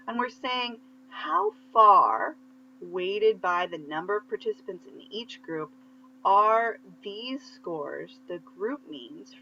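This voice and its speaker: A woman lecturer explaining material step by step in a conversational teaching style, checking in with short questions as she goes. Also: medium pitch. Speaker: statistics lecturer. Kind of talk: conversational instructional explanation of slides. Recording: muffled lo-fi audio with faint hum.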